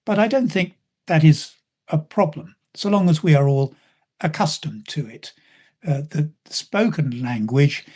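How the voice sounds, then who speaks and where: A British man giving an unscripted answer as a symposium speaker, in measured academic phrasing with many hesitant pauses. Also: a little high, lively intonation, a little mellow, breathy edge. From Britain.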